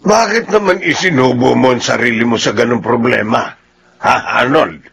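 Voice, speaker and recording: A man's voice: mid-range voice, moderate pace, creaky, noisy background, close to an everyday voice. An actor playing a stern older father who lectures his son-in-law reproachfully, in a radio drama.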